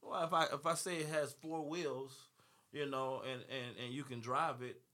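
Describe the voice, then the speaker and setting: A man's voice: mid-range voice, fairly even intonation, normal speed, bright, forceful tone. A man in his thirties or forties, a gospel and R&B artist, talking in an interview with many restarts and easy laughter. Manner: rambling, repeats words, laughs easily. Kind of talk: panel interview conversation.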